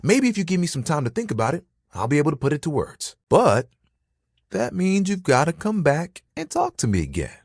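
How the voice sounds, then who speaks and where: Casting: insecure, friendly towel character for a video game; very swooping, theatrical delivery, slightly husky, hesitant and heartfelt. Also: male voice, fairly high pitch, a little fast, no echo, clean studio sound, exaggerated voice. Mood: flustered, defensive. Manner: casual, earnest, hesitant, theatrically expressive. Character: living towel, easygoing, sensitive, insecure young male buddy.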